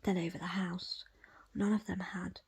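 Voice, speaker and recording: A woman reading a book aloud as ASMR in a breathy, unvoiced whisper, with hushed, deliberate phrasing in short pieces. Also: lowish voice, level tone. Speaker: audiobook reader.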